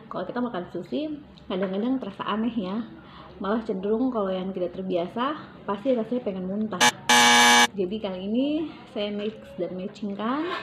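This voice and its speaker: A woman walks through a recipe in her home kitchen, friendly and explanatory, pausing often on little hesitations. Her voice sits at an ordinary middle pitch. The audio is a little dull, with a faint hum of kitchen noise behind her. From Papua, Indonesia. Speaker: cooking vlogger. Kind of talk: casual cooking demonstration.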